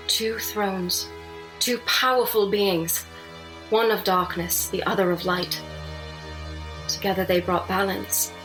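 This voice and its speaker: A woman's voice: medium pitch, natural voice. A woman playing a defiant, questioning young Jedi apprentice in a dramatic audio drama. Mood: solemn. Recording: music playing behind the voice.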